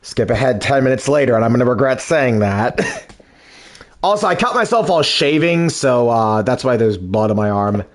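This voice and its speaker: A man with a deep voice, chatting casually and jokily between lines of a game dub. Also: very animated, normal speed. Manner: jokey, laughing, rambling asides. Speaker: streamer and fan dubber. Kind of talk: casual live-stream chat.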